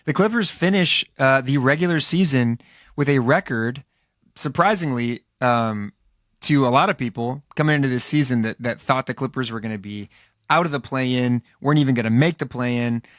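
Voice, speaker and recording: Hoarse male podcast co-host, rambling and filler-heavy, dry close mic. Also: medium pitch, normal speed, fairly bright tone, no room sound. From Los Angeles area, United States. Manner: loose, rambling, with many fillers and restarts. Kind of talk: casual sports podcast talk.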